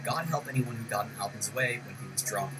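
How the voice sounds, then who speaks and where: A man's voice: on the low side, fast talker, poor audio. A man delivering scripted, documentary-style narration for a video essay. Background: music.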